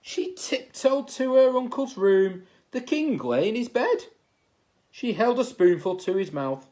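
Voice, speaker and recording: A man reading a children's story aloud as a teacher would, in expressive narration. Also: very high voice, a little slow. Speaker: assistant head teacher reading a bedtime story.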